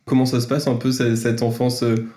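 A man's voice: mid-range voice, little pitch movement, a little fast, dark tone. A man hosting a podcast interview, playful and teasing, fond of flowery introductions. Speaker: podcast host.

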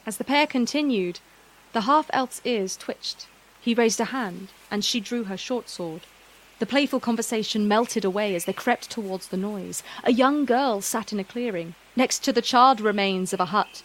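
A woman's voice: mid-range voice, moderate pace, poor audio. Woman narrating a storybook fantasy tale.

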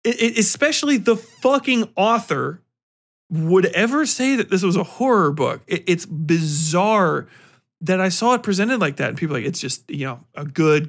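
A man talking casually as a podcast host reviewing books, slangy and full of swearing, animated and given to acting out mock exchanges. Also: a little high. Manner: profane, slangy, informal. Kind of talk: casual rambling book review talk.

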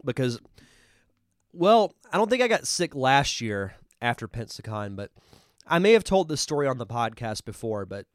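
A man's voice: mid-range voice, very animated, normal speed, no room sound, studio quality. A man with a congested voice hosting a live podcast, chatting casually and enthusiastically as he reviews a film. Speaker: podcast host.

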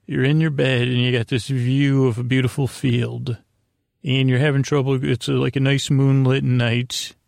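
An American man hosting a podcast, giving a soothing, meandering bedtime monologue in a halting way, often repeating himself and trailing off. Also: medium pitch, flat delivery, gravelly, dry recording, clean studio sound. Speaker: podcast host.

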